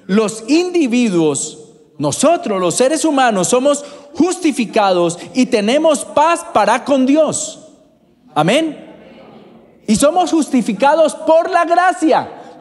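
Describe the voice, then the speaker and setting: Slow, lively Colombian preacher in a hall. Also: male voice, very high voice. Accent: Bogotá Colombian Spanish. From Bogotá, Colombia. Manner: addresses congregation members by name. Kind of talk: sermon. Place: church hall. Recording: reverberant church hall.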